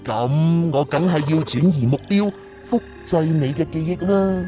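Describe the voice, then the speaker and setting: Man playing a high-voiced, smug master thief. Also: measured pace, dark tone, soft background music, lightly acted. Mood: smug taunting. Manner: sneering, taunting, theatrical laughs. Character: smug, mocking master thief in disguise, taunting captives. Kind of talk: radio drama acting.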